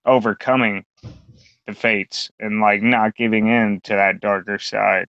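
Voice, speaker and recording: A man's voice: fairly low pitch, little pitch movement, a little slow, a bit bright, no echo. A man co-hosting a podcast, rambling through a casual, crude chat full of fillers and swearing. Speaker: podcast co-host. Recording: dull lo-fi sound.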